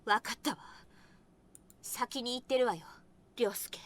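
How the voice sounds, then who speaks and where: A woman playing a defiant, sharp-tongued young woman in a Japanese visual novel, speaking curtly. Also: on the high side, brisk, natural voice. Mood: subdued, resolute. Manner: defiant, curt. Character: strong-willed, sharp-tongued young woman confronting her brother.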